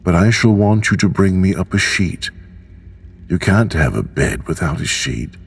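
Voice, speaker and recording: Man putting on a cheerful little girl, eager, lifted pitch over a deep creaky base. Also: normal speed, faint music behind, full character voice. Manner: bright, calling out excitedly.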